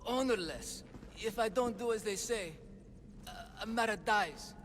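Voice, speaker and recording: An actor playing a nervous, theatrical swindler in a video game, stammering through hesitant sales patter. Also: male voice, high-pitched, measured pace, fairly bright tone, a little hiss or hum. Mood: fearful. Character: nervous, theatrical swindling huckster, a frightened father. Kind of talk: video game character acting. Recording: music behind the voice.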